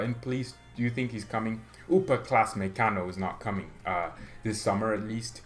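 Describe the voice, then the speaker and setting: A man's voice: medium pitch, fairly bright tone. A young man hosting a fan channel, talking casually and opinionatedly, rambling with restarts and getting heated. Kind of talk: casual solo commentary.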